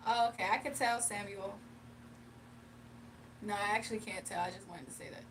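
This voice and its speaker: A woman's voice: medium pitch, steady tone, normal speed. A young woman chatting casually with her livestream viewers and laughing often, then doing a tarot reading. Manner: chatty, laughs often, reads chat aloud. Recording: distant mic with faint room noise.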